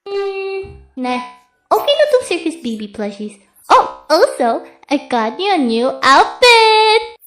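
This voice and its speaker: A woman's voice: extremely high pitch, sing-song, takes it slowly, fairly bright tone, reverberant, exaggerated voice. A woman voicing a perky, bossy animatronic clown girl in a cartoon fan dub, with a gushing, theatrical manner. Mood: bossy, cheery.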